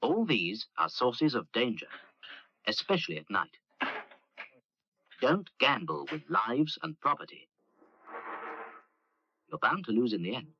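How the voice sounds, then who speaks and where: A man's voice: medium pitch, sing-song, unhurried, vocal fry, long pauses, bright, forceful tone, slight background noise. A man narrating a vintage public safety film, giving instructions in short, firm commands. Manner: instructive, clipped imperatives. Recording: dull vintage film soundtrack.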